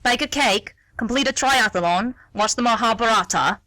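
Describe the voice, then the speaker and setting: A woman's voice: on the high side, normal speed, bright, forceful tone, no echo. A woman acting a sardonic, meddling older woman in a radio play, with a dry, blunt, wry delivery. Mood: dry, chiding. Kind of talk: stage-play dialogue read as radio theatre.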